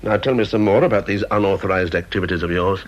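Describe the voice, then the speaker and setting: A man's voice: low voice, fast talker, lightly acted. An actor playing a stern, exacting British senior scientist in a radio drama, clipped and sarcastic as he interrogates and reprimands. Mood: angry, scornful. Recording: dull, muffled old radio recording.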